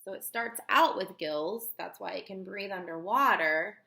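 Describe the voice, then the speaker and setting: Woman teacher doing a kids read-aloud, bright clear-cut emphasis, explains stuff and asks questions, echoey room. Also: mid-range voice. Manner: expressive, emphatic, didactic, pausing to question listeners. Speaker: teacher reading a book aloud to young children. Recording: roomy, echoey room sound.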